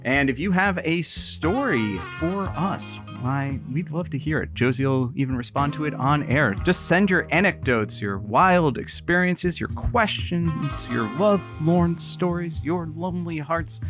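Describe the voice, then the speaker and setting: A man's voice: on the high side, a little slow, hardly any pauses, over music, natural voice. A man playing a cheeky late-night radio sidekick, reading in a cozy, showy announcer manner. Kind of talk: comic banter and scripted credits narration.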